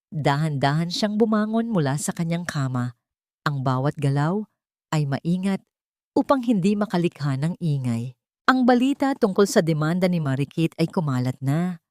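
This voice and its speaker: Low-pitched Filipino woman narrating a story. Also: mellow, dry recording, clean studio sound. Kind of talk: scripted dramatic story narration.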